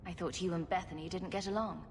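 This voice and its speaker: A woman's voice: on the low side, steady tone, quick pace, a little mellow, slight background noise, natural voice. A woman voicing a wisecracking female rogue in a video game, flippant and drily witty. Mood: sarcastic. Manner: flippant, dry wit. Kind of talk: video game dialogue acting.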